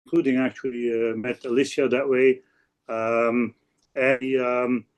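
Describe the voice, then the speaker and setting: Halting Belgian Dutch-accented man in his sixties. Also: medium pitch, flat delivery, lo-fi sound. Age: 60s. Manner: halting, with frequent hesitations and repeats. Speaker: law professor on a panel. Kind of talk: introducing own work at a webinar. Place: office.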